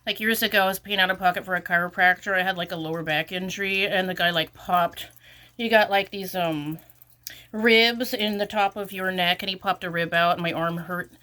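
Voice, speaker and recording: A woman's voice: fairly low pitch, steady tone, brisk, bright, forceful tone. A sniffly woman rambling through an exasperated casual vlog rant, full of "like" fillers. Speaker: vlogger.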